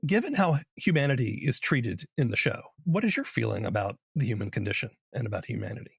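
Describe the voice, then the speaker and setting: A man's voice: medium pitch, expressive, fast talker, gravelly, slightly rough, no echo, clean studio sound. A man interviewing a guest as a podcast host, asking questions in a casual conversational style.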